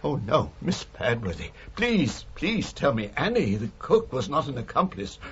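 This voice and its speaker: Casting: anxious British male lodger for radio crime drama, courteous, faltering and appalled, with lively, varied pitch. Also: unhurried. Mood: horrified, pleading. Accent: British English. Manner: hesitant, polite, appalled. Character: nervous, polite male lodger questioning a murderess. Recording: dull radio recording with faint hiss.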